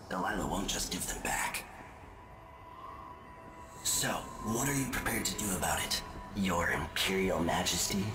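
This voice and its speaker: An actor playing a cryptic otherworldly male spirit in a video game, deliberate, coolly taunting and theatrical. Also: lowish voice, lightly acted. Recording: echoing, with prominent music behind.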